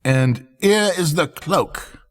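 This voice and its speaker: A man's voice: fairly high pitch, animated, long reverb tail, pitched above the performer's own voice. A man voicing an obsequious swindler weaver in a storybook, coaxing and showing off with flourish. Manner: slow, showy, coaxing presentation. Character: smooth-talking obsequious swindler weavers flattering a monarch.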